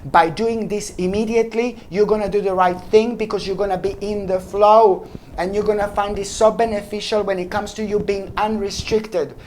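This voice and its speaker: A woman's voice: medium pitch, steady tone, moderate pace, a little mellow, a little hiss or hum. A woman giving a weekly tarot reading, effusive and repetitive, calling the listener "my gorgeous" and "baby". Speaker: tarot reader.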